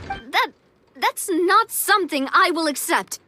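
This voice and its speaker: Anxious dramatic anime game girl, really high bright voice, earnest and theatrical. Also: animated, takes it slowly, full character voice. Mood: distraught defiance. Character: theatrical young woman, earnest, anxious and defiant. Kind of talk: video game cutscene acting.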